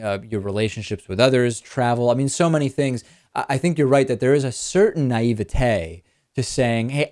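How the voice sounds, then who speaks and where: A man born in Argentina whose first language is Spanish, hosting a talk show in a relaxed conversational way and answering listener questions. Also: mid-range voice. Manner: conversational, repeats words when thinking. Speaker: host. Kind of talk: casual chat, reading listener questions.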